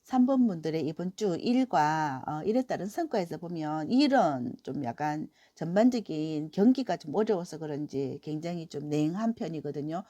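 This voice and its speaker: A middle-aged woman with a cold-roughened voice gives a weekly tarot reading in a gentle, encouraging way, with many soft fillers. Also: low-pitched, lively intonation, moderate pace, hardly any pauses, no room sound. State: hoarse from a cold. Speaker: tarot reader.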